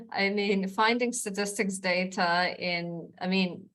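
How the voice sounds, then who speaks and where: A woman's voice: medium pitch, slow, a bit bright. A woman speaking as a panelist in an academic discussion, chatty and self-deprecating, with many fillers.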